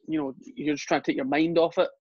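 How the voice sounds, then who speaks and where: A man's voice: on the high side, fast, no echo. A young Scottish man, a soccer coach, chatting casually as an interview guest, with many fillers and restarts. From Scotland. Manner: relaxed, with frequent fillers and restarts. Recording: dull, lo-fi sound.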